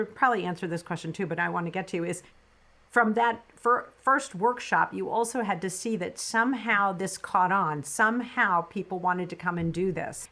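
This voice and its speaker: Affirming woman podcast host, lower voice. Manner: warm, affirming, wordy questions. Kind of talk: interview questions and comments.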